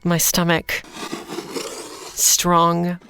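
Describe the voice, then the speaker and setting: A woman's voice: lowish voice, monotone, very slow, background noise. A woman playing a defiant young street fighter who tells their story in a noir audio drama. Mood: somber, intense. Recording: dramatic music behind.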